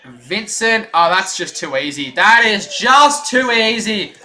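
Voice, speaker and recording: A young man streaming his own play-by-play as a fan, chatty and excited, with a voice going hoarse. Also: high voice, slow. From Australia. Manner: excited rooting fan, loud on big plays, chatty in lulls. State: losing his voice. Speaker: stream host.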